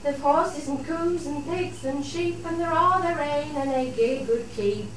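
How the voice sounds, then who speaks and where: Female ballad singer, Cumbrian dialect, high voice, strong echo, set back from the mic. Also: a little slow. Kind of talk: dialect folk ballad singing with refrain. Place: studio theatre. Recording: very echoey, distant, faint noise.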